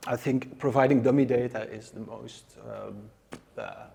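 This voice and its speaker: A man's voice: medium pitch, steady tone, measured pace, vocal fry, mellow, breathy edge. A man from the Netherlands with a Dutch accent, giving a live conference talk to an audience in a hesitant way, with many filler sounds and restarts. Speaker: conference speaker. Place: conference room.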